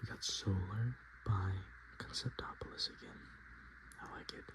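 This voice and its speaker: A man speaking softly and in whispers, chatting casually as an ASMR host with an unhurried, self-deprecating manner. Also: deep voice, fairly even intonation, low-quality recording. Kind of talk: soft-spoken and whispered ASMR, casual chat.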